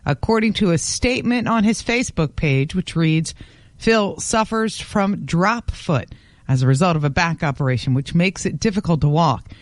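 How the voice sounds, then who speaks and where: A woman filing a radio news report in a clear, matter-of-fact tone. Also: lowish voice, expressive, moderate pace, no room sound. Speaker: news correspondent.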